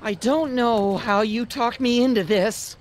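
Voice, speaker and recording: A man's voice: very high-pitched, unhurried. An actor playing a timid, nervous young American man in a video game, speaking hesitantly.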